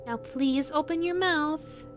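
A woman's voice: high-pitched, slow, soft background music. A woman voicing a possessive, sweetly menacing yandere rabbit woman in a roleplay audio drama, coaxing and condescending in a saccharine way.

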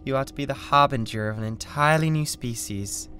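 A British man playing a cold, condescending mad scientist with a god complex in an ASMR roleplay, speaking in a deliberate, theatrical way. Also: medium pitch, sharp tone, a little hiss or hum, lightly acted. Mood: grandiose, controlled. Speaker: ASMR voice actor. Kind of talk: ASMR roleplay, scripted drama.

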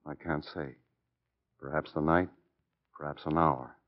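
A man's voice: extremely low pitch, steady tone, a little slow. A man playing a grave, sympathetic doctor in a 1940s radio drama. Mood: grave, resigned. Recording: thin phone-like vintage radio recording.

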